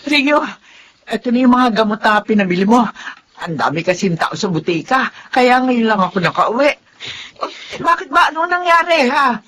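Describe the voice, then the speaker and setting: A woman playing an anxious, excitable middle-aged helper in a Filipino melodrama, flustered and exclamatory. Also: on the high side, normal speed. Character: excitable, anxious older woman helping at a birth.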